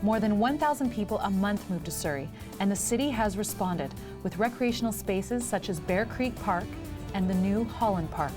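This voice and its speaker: A woman reporter reading scripted voice-over narration for a travel segment in a smooth, even delivery. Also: mid-range voice, moderate pace. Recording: music bed behind narration.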